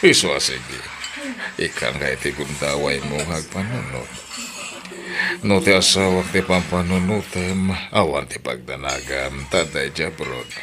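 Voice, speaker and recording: A man's voice: very deep voice, steady tone, unhurried, crowd noise. A man playing husband, boss and father roles in a radio drama, earnest and intimate. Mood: wooing. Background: background music.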